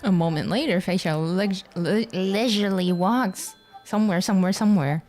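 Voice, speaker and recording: A woman's voice: mid-range voice, normal speed, a little mellow. A woman streaming a game with casual, playful live reactions. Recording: game music behind.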